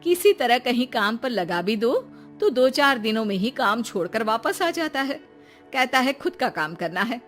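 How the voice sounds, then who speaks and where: A woman's voice: fairly high pitch, very animated, moderate pace, a bit bright, faint music behind. A woman voicing a fretful middle-aged mother-in-law. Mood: worried. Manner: fretful, pleading.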